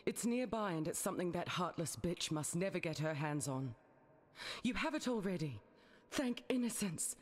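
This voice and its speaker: A woman's voice: fairly low pitch, animated, normal speed. A woman playing a weary, resolute soldier in a fantasy video game, speaking in an earnest, serious way. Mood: urgent resolve. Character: weary, resolute female soldier deserting her legion. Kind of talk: scripted fantasy game dialogue. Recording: music behind the voice. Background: game music.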